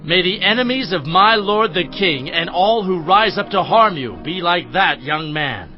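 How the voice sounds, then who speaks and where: A proud, reverent ancient soldier-runner in dramatized scripture. His voice is high, with music behind and a slightly dull sound. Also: lively intonation, a little slow. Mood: triumphant. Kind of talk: dramatized Bible acting. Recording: dull lo-fi audio.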